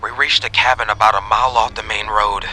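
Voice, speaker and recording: A man's voice: lowish voice, fairly even intonation, bright, forceful tone, a little hiss or hum, voice pushed up. A man playing an authoritative, matter-of-fact police officer on a call in a horror story. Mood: confused, grave. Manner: authoritative, brisk.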